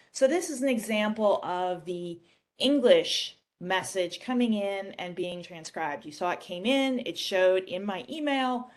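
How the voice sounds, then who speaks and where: A woman giving a casual software demonstration in a conversational, explanatory way. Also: mid-range voice. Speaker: software demo presenter. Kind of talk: casual screen-share product demonstration.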